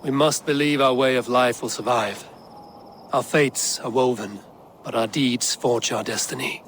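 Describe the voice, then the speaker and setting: An actor playing a stern male Viking raid leader in a video game cutscene, speaking in a grave, measured, commanding way. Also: medium pitch, fairly even intonation, fairly bright tone, slight background noise. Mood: resolute.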